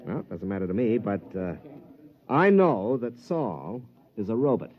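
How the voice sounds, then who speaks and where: An American actor playing a proud, determined, suspicious championship golfer in an old-time radio drama, with an intense, musing delivery. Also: male voice, on the low side, very animated, moderate pace. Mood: coolly challenging. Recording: dull vintage radio recording.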